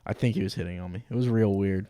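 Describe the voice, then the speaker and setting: A young man co-hosting a podcast, telling stories in a deadpan way and laughing often. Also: fairly low pitch, quick pace, no room sound. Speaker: podcast co-host. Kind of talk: casual chat.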